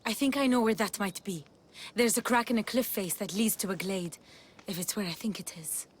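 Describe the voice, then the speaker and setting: Woman as an earnest rebel, medium pitch. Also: brisk, a little hiss or hum, close to an everyday voice. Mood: curious. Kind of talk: dramatic video game acting.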